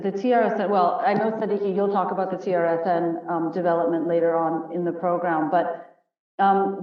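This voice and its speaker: A woman hosting and moderating a panel on a video call, friendly and full of ums and quick asides. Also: lowish voice. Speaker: webinar host. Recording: roomy, distant, dull video-call audio.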